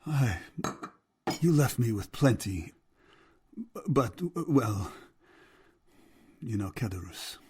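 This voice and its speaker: An actor playing a frail, loving elderly father in an audio drama, proud yet hesitant and tender, with a husky voice. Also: on the low side, animated, takes it slowly, pauses often, mellow, heavily acted. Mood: sheepish. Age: older.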